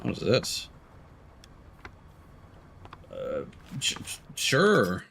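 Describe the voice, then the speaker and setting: A man's voice: lowish voice, wildly swooping pitch, drawn-out words, creaky, fairly bright tone, slight background noise. A man streaming a video game with casual live commentary, musing aloud as he goes. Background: music behind the voice.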